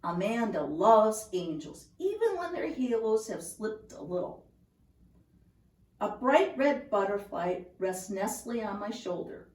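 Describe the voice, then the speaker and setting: A woman reading a children's picture book aloud in a measured, deliberate storytelling manner, recorded at home. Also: mid-range voice, very animated, darkish tone. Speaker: storybook reader. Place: indoors at home. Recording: roomy home recording, distant mic.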